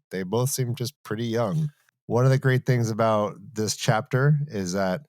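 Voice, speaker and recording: A man's voice: low-pitched, darkish tone, no room sound. A man co-hosting a casual book podcast, talking in a jokey, mock-serious way. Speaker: co-host of a fantasy book re-read podcast.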